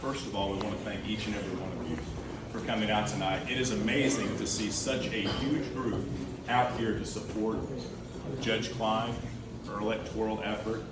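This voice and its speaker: A man's voice: fairly low pitch, steady tone, moderate pace, heavy background noise. Guy giving a heartfelt campaign speech, sounds far off in a big echoey room. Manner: earnest, repeats key words for emphasis. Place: large indoor room with a crowd. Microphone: room microphone heard from a distance.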